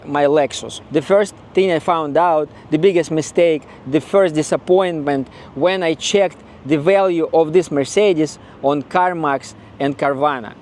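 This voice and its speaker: A man's voice: on the high side, a little mellow. A man with a Ukrainian accent talking casually in a vlog, blunt and regretful, often repeating himself. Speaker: car owner vlogger.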